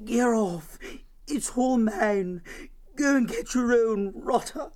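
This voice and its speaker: A man's voice: very high-pitched, slow pace, a little mellow, voice pushed up. A man voicing a greedy young male hare who blusters in a plummy, old-fashioned manner. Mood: sleepy grumbling.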